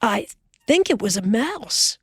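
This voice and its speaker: Woman voicing a pioneer father, steady, teasing, earnest, slow. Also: breathy edge, dry recording, studio quality, heavily acted. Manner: low, plain, earnest.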